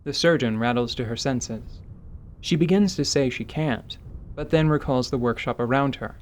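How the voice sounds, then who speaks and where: A man's voice: mid-range voice, normal speed, a little hiss or hum. A man reading scripted narration for a tabletop fantasy audio drama.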